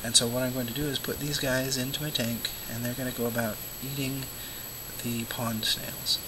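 A man hosting a home aquarium vlog, his voice hoarse and scratchy from illness and often fading to a whisper. He rambles in a relaxed way with plenty of fillers. Steady background noise sits under the recording. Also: medium pitch, normal speed. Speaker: aquarium vlogger, show host. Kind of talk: casual talk to camera.

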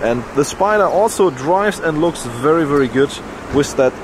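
A man's voice: fairly high pitch, expressive, normal speed. A man narrating his car road trip, enthusiastic, with slightly phrasing. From Germany. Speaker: car vlogger. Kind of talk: casual travel vlog narration. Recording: camera audio with car and outdoor noise.

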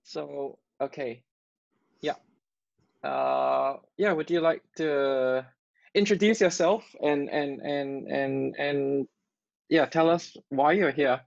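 A man hosting a live online workshop over a video call, talking haltingly with many restarts. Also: on the high side, vocal fry, no room sound. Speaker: workshop host. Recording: dull lo-fi call audio.

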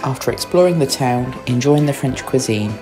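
A man's voice: medium pitch, animated, normal speed, rough recording. A man reading scripted travel vlog narration as a voice-over. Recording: voice-over with music bed.

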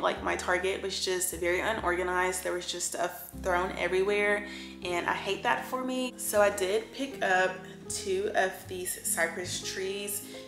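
A woman's voice: fairly low pitch, creaky. A woman with a sore throat chatting through a home decor haul in a chatty, enthusiastic way, with lots of fillers. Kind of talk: casual haul chat. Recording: roomy echo with background music.

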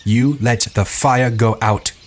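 A man's voice: lowish voice, normal speed, slight background noise, pitched above the performer's own voice, close to an everyday voice. A man voicing an angry, bitter boy chief in a storybook, accusing and intense. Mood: grim, accusing.

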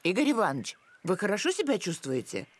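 Actress as a wildly expressive cartoon nanny. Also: female voice, mid-range voice, loud music behind the voice, natural voice. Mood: concerned. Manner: brisk, no-nonsense, expressive. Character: brisk, practical village nanny, adult woman.

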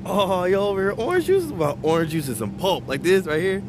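A goofy man clowning as a video game teammate. His voice is high and jumps around a lot. He is loud and attention-seeking, with clear noise behind him. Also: big pitch swings, fast. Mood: teasing, clowning. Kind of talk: comic video game cutscene acting.

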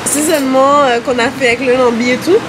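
A woman, co-hosting a food vlog. Her talk is casual, expressive and emphatic, with clear noise humming behind her. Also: sits high, quick pace. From Guadeloupe. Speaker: food vlogger, co-host.

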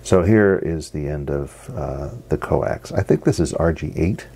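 A middle-aged man, giving a casual hands-on demonstration in a halting, unscripted way. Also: rumbling low, vocal fry, mellow, slightly rough. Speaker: radio hobbyist presenter. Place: basement radio workshop, indoors. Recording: noisy home basement recording.

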